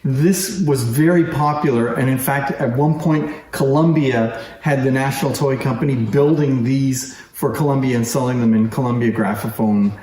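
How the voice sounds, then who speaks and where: A man's voice: medium pitch, moderate pace, few pauses, darkish tone. A man giving a casual, unscripted hands-on demonstration as a presenter, speaking informally and with interest. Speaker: presenter demonstrating antique phonograph toys. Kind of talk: casual hands-on show-and-tell. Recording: roomy echo, faint background noise.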